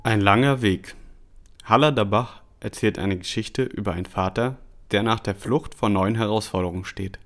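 Guy doing formal announcer lines, lowish voice, music playing under him. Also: normal speed, a bit bright. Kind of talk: scripted narration.

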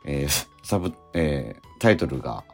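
A man hosts a radio show in a deep, slightly rough voice with lively intonation. His announcements are halting and deliberate, with faint music behind them. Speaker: radio show host and scriptwriter.

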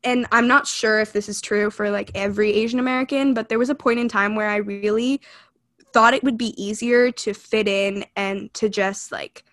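A woman's voice: medium pitch, fairly even intonation, normal speed, fairly bright tone. A young woman from California co-hosting a podcast, speaking in an earnest, reflective way with frequent "like" and "you know". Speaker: podcast co-host. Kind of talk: casual chat.

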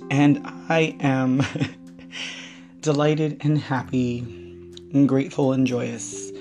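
A man hosting a reflective spiritual podcast, his voice hoarse and weakened, talking in a slow, wandering way. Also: mid-range voice, steady tone, a little mellow, faint music behind. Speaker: podcast host. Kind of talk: reflective solo podcast talk.

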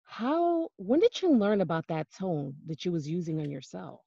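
Low gravelly woman, dull dry sound. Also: big pitch swings, moderate pace, mellow, no echo. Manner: earnest, affirming, laughs along. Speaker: guest. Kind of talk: casual conversational interview.

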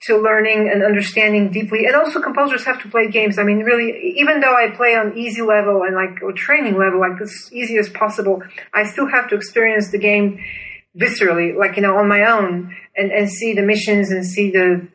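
A woman from Bulgaria, a native Bulgarian speaker, giving earnest, enthusiastic and deliberate explanations as an interview guest. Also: medium pitch, a bit bright, poor audio. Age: 30s or older.

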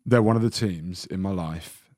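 A man with a very deep voice talks on a casual fan podcast, opinionated and heated. He stumbles and restarts as he builds a rant. The sound is dry and close. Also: moderate pace, dark tone, dry recording. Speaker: podcast co-host.

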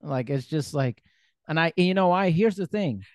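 A man hosting a podcast, talking in a relaxed, rambling way with frequent fillers. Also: fairly high pitch, normal speed, dry recording, clean studio sound. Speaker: podcast host. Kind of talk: casual podcast conversation.

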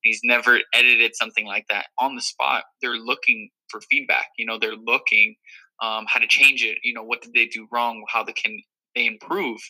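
Bright-voiced young man reflecting earnestly. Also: mid-range voice, level tone, normal speed, low-quality recording. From Miami, Florida. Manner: earnest, reflective, many fillers. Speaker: guest. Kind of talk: casual interview talk.

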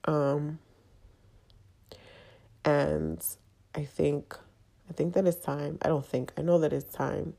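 A woman hosting her own podcast, congested and a little nasal from a cold, talking candidly in a halting, confessional way. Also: deep voice, big pitch swings, creaky, warm tone. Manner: halting, confessional, with frequent fillers. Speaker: podcast host. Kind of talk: candid personal monologue.

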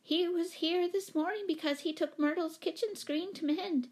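A woman's voice: very high-pitched, steady tone, normal speed, a little mellow, voice pushed up. A woman voicing a fussy, anxious, chatty storybook lady who rambles apologetically and eagerly. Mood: flustered, eager.

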